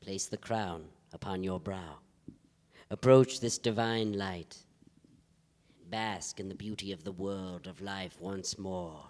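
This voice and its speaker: A man's voice: low-pitched, takes it slowly, a little mellow. A man in a staged play reading, playing a solemn, grandiose psychic who speaks in a formal, portentous way. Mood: solemn, incantatory. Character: solemn, grandiose self-styled psychic medium named Joe. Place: theatre stage. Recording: reverberant theatre hall recording.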